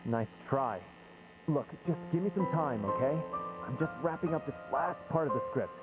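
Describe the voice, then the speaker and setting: Game role: man acting irritable, defensive writer, lightish pitch, boxed-in phone-like sound, loud music. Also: expressive, moderate pace, lightly acted. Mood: irritated. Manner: curt, defensive. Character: harried, irritable man playwright.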